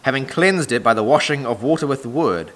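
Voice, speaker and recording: A man preaching a sermon with a scratchy, hoarse voice from a sore throat. He reads scripture and then teaches in a steady, measured cadence, at an ordinary middle pitch for a man. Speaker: pastor.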